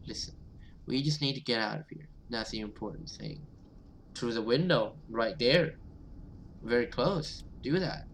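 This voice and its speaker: A man's voice: medium pitch, a little slow, vocal fry, a little hiss or hum. A man voicing an urgent, protective, defiant survivor in a horror visual novel. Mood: urgent, determined.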